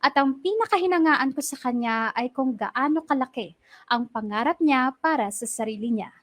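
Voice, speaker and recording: A high-pitched young Filipino woman tells her own story in a radio drama. The recording is dry and close, and her scripted first-person narration is earnest and reflective. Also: animated, moderate pace, fairly bright tone, dry recording. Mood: fond, reflective.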